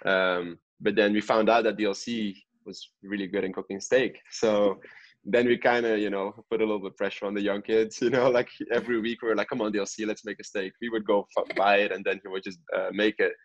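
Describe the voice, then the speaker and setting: A man's voice: fairly low pitch, flat delivery, fairly quick, dull audio. A man in his twenties, a pro soccer player, chatting casually as an interview guest. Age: 20s. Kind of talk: casual podcast interview chat.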